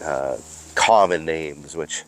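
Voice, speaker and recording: A man's voice: extremely low pitch, takes it slowly, a bit bright. Stuffy-nosed man teaching in the woods. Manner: chatty, explaining as he goes, hesitant fillers. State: stuffy from allergies. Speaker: mycology educator and host. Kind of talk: casual outdoor teaching vlog. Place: outdoors in a forest.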